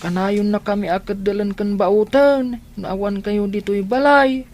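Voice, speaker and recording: A woman's voice: medium pitch, steady tone, unhurried, darkish tone, a little hiss or hum, lightly acted. Innocent, pleading Ilocano child, played by a woman. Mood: innocent, complaining. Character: innocent young child talking to Daddy. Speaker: radio drama actor. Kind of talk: Ilocano radio drama acting.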